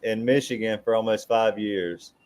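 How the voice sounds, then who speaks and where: A man's voice: lowish voice, fairly even intonation, normal speed, darkish tone. A man from Mississippi chatting casually as a guest in an offhand, self-deprecating way. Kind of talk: casual chat.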